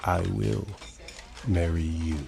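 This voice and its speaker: A man's voice: sits low, steady tone, slow, vocal fry, darkish tone, full character voice. An actor playing a zealous, pompous older father and moral crusader in an audio drama, speaking in an oratorical way. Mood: reluctant, subdued.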